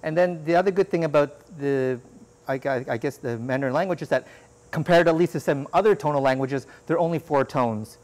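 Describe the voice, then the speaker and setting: A man whose first language is Cantonese, answering interview questions in a measured, explanatory way with frequent fillers. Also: on the high side, a little mellow. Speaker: interview guest, economist.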